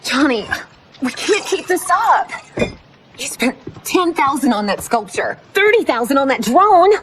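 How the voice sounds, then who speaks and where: An actress playing a blunt, exasperated wife in a video game drama, pointed and sarcastic. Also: female voice, sits high, big pitch swings, a little slow, background noise. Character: exasperated, blunt wife of a fading movie star. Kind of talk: cinematic video game acting.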